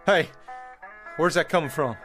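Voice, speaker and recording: An actor in a radio drama playing a weary, bewildered pioneer man from the 1840s, with halting, stammering speech and folksy old-fashioned phrasing. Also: on the high side, a bit bright, soft background music. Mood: baffled.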